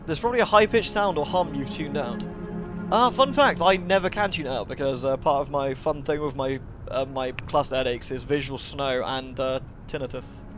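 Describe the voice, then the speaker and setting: A man's voice: mid-range voice, normal speed, music in the background. A man livestreaming a game, chatting casually with viewers and reading aloud. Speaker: game streamer.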